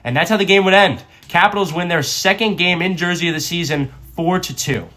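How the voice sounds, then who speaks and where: A man's voice: sits high, a little fast, pushed and bright. A man working as a sports reporter, narrating a scripted hockey game recap.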